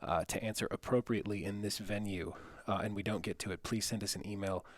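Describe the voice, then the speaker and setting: A man's voice: lowish voice, fairly even intonation, fast, dry recording. A man hosting an online webinar, giving housekeeping announcements in an informal, matter-of-fact way. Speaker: webinar host and moderator.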